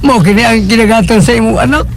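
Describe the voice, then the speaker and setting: A man playing an aggrieved old grandfather in a radio drama, pleading and halting with emotion. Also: very high-pitched, vocal fry. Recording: radio drama with music behind.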